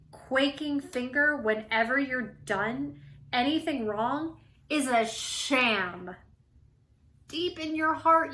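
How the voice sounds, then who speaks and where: A talking pen from a children's storybook, acted out by a woman, lectures a kid in a high, bright voice. It is pompous and indignant, leaning hard on words and swooping theatrically through its slow scolding. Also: exaggerated voice. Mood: solemn scolding.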